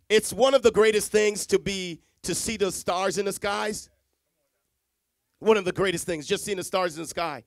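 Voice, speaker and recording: A middle-aged man from Jamaica preaching a Christmas sermon on a church stage into a handheld mic, swinging from hushed, drawn-out lines to loud, repeated emphasis. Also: high-pitched, dry recording, clean studio sound. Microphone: handheld microphone.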